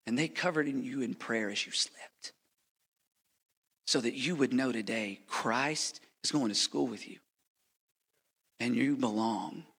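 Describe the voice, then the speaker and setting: American guy preaching in church, bright ringing voice, big echoey sanctuary, goes loud then real quiet. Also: medium pitch, unhurried, long pauses, breathy edge. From United States. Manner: passionate, preacherly, swings from loud to hushed. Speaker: missionary pastor. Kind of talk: sermon with a prayer. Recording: reverberant hall.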